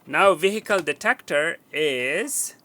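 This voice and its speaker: A man with a bright, ringing voice walks through a wiring job at an indoor workbench. He speaks haltingly, with fillers and restarts, and counts pins aloud as he points to them. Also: fairly high pitch, animated. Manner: halting, with frequent fillers and restarts while working. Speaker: technician demonstrating wiring. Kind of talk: hands-on instructional walkthrough.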